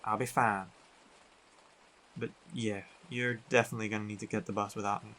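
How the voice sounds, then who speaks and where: A man playing a big, teasing, affectionate biker werewolf boyfriend in an intimate roleplay audio, talking in an unhurried, colloquial way. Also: lowish voice, flat delivery, sharp tone, a little hiss or hum, poor audio. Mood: frustrated, reassuring.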